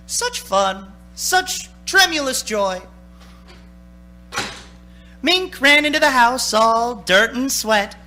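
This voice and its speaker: An actress playing the storytelling narrator of a radio play, theatrical and expressive. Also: female voice, on the high side, heavily acted. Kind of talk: scripted radio drama narration.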